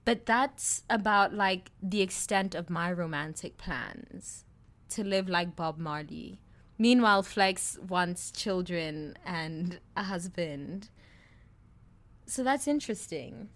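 A South African woman in her twenties talks openly on a podcast, with a mild South African accent. She is blunt and crude in a relaxed, joking way, and she breaks into laughter often. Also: lowish voice, takes it slowly. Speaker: podcast co-host. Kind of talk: casual chat.

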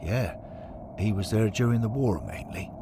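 A man's voice: on the low side, animated, normal speed, background noise, voice pushed up. A man voicing a plain-spoken, sincere and loyal former footballer in an audio story. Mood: earnest.

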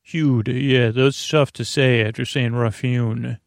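A man with a creaky, gravelly voice hosting a bedtime podcast in a soothing, halting, rambling monologue that drifts into tangents. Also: mid-range voice, fairly even intonation, dry recording, clean studio sound. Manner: meandering, halting, stop-and-start, trailing off into tangents; soothing, lulling. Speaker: podcast host.

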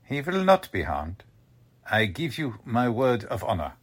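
An actor playing a courteous, cold German Nazi agent who makes veiled threats in a deliberate, suave way, in old-time radio drama style. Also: male voice, mid-range voice, wildly swooping pitch, slightly rough, full character voice. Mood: quiet threat.

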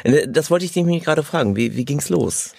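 A man's voice: a little high, animated, fairly quick, gravelly, a little mellow, no echo, studio quality. A man chatting casually as an interviewer, putting informal questions to his guest. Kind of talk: casual chat.

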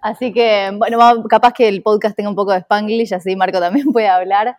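An Argentine woman talks casually as a podcast guest, friendly and quick to laugh. Her middle-pitched voice is recorded dry and close, with no room sound. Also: fairly even intonation, a little mellow, dry recording. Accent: Argentine Spanish. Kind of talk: casual interview chat.

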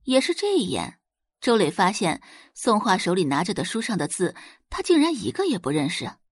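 Female audiobook narrator: expressive dramatic storytelling, lively pitch movement, slightly husky tone, dry close recording. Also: moderate pace, no echo.

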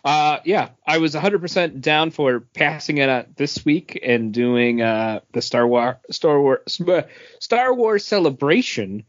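Slow-talking, tipsy man in casual chat. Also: a little high, animated, no room sound, muffled recording. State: tipsy from drinking. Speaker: podcast co-host.

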